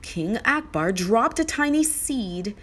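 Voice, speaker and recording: A woman narrating a children's story read-aloud in an expressive, deliberate way. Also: a little high.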